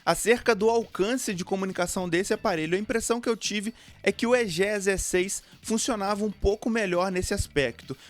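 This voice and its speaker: High-voiced Brazilian man reviewing gear. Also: brisk, few pauses. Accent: Brazilian Portuguese. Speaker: motorcycle gear review video host. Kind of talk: product review narration to camera or voice-over. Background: background music.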